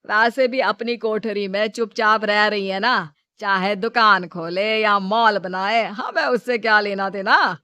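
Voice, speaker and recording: A woman playing a selfish, smooth-talking adult son with a casual, self-serving manner in a Hindi moral story. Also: medium pitch, unhurried, pushed and bright, no echo. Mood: dismissive.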